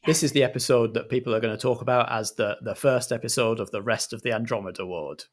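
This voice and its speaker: A man's voice: mid-range voice, steady tone, fast talker. A man narrating an improvised comedy roleplay as game master.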